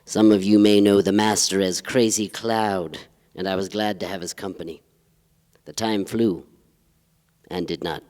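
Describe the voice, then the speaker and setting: An actor playing a pompous, wistful poetry-reading emcee, speaking in a solemn, florid way on a theatre stage. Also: male voice, low voice, fairly even intonation, unhurried, pauses often, a little mellow. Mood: pompous, courtly. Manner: pompous, ponderous. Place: theatre stage, live performance. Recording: reverberant live theatre hall.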